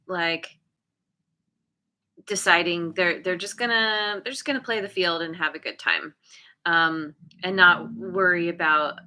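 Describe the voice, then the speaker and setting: A woman reads tarot cards in a slow, meandering way, stopping often to search for words. Her voice is bright and forward. She is chatty and laughs at herself from time to time. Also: lowish voice. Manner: hesitant, many fillers and restarts. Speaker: tarot reader. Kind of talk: casual tarot reading.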